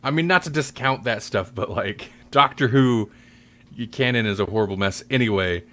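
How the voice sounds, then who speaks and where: A man hosting a podcast, chatting casually about audio dramas in a halting, rambling way. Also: mid-range voice, a bit bright. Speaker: podcast host.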